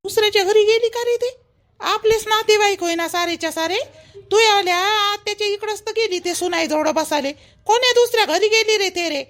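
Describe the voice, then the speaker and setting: Very high-voiced village mother voiced by a woman. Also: fairly quick, fairly bright tone. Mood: placating. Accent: Vidarbha Marathi. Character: village mother of a grown son, practical, nagging. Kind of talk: family drama cartoon dialogue.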